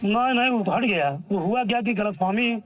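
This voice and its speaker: A man's voice: high-pitched, moderate pace, fairly bright tone, faint music behind. A man with an eastern Uttar Pradesh accent arguing insistently in a heated recorded phone call, repeating himself in protest. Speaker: town council chairman.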